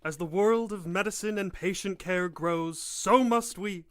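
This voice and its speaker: Man playing a smooth, persuasive professor. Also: high-pitched, measured pace, fairly bright tone. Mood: proud, eager. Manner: polite, coaxing, salesman-like. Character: smooth-talking, persuasive male professor running a research lab. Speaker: voice actor in an audio drama. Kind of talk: audio drama acting.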